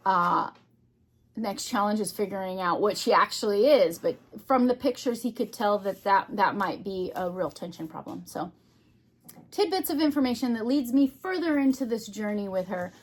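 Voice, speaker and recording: A woman giving an unscripted, casual hobby vlog, thinking aloud in short, halting phrases. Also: medium pitch, animated. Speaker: craft vlogger.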